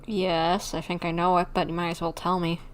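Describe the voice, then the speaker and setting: Chatty woman streamer, slightly low voice. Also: level tone, a little fast, noisy background. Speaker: game streamer. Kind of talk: casual livestream gameplay commentary, reading dialogue choices aloud.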